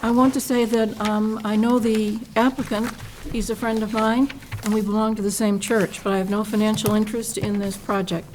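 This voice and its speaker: A woman runs a town planning board meeting, brisk and plainspoken as she moves through the agenda. Her voice sits at a middle pitch. Faint hiss from the public-access recording sits under her. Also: little pitch movement, a little mellow, rough recording. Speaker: board chair.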